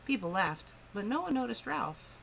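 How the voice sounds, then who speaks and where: A woman reading a picture book aloud to children in an expressive, clearly phrased storytelling style. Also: a little high, normal speed, a little hiss or hum, rough recording. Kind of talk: animated children's story narration.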